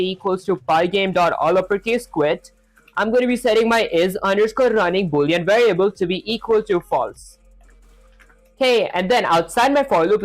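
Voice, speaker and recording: A young man live-streaming his programming practice, talking casually and rambling with lots of filler words while he reads chat. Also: high voice. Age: young adult.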